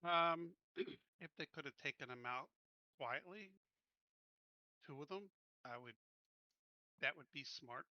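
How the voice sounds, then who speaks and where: A man's voice: on the high side, slow pace, pauses often, no echo, lo-fi sound. A man playing a tabletop roleplaying game, talking casually as he makes decisions.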